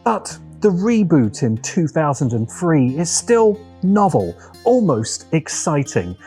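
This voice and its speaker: Man, video essay narrator, slow and deliberate, animated pitch, wry, opinionated, dramatic pauses, plucked-string music behind.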